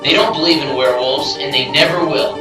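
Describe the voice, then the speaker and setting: A man's voice: medium pitch, brisk, natural voice. A man voicing a grim, hot-headed teenage monster hunter in an amateur cartoon. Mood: grim, determined. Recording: echoey, distant, music behind.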